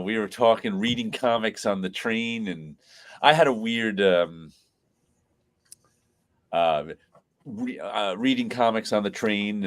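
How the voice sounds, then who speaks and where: Stuffy-nosed guy hosting a live chat, kinda low voice, rambles and laughs a lot, keeps restarting. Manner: rambling storyteller who laughs often and restarts phrases. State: congested from sinus trouble. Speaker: host. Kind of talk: casual live-stream chat.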